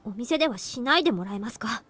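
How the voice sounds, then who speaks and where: A high-voiced young waitress from a visual novel. She speaks extremely fast in formal, curt, businesslike phrases, with music behind her. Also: lively intonation, a bit bright. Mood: curtly reproving. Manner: formal, brisk, matter-of-fact. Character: polite but curt young female cafe waitress. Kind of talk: Japanese visual novel voice acting.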